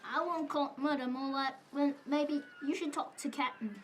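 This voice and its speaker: A woman's voice: sits high, steady tone, normal speed, natural voice. A woman playing a cagey male sailor in an amateur audio drama. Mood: evasive. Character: cagey male sailor with old salt talk. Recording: noisy background with clicks, bird honks.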